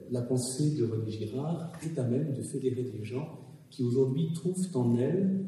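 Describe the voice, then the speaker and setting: Man moderating in a formal, hesitant way, dark tone, heard from far off on cassette in a reverberant hall. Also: mid-range voice. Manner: formal, hesitant, many fillers. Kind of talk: formal introduction and interview at a public event. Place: public hall. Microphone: recorded from the audience on cassette. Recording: distant, echoey hall on cassette.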